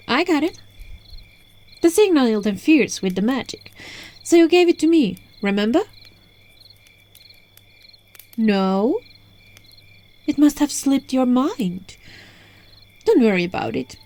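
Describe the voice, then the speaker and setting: A woman's voice: high-pitched, a little mellow, full character voice. A woman voicing a seductive, teasing, controlling witch in an ASMR roleplay, coaxing the listener, recorded on a phone microphone. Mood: teasing, commanding. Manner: slow, coaxing, breaking into whispers and giggles.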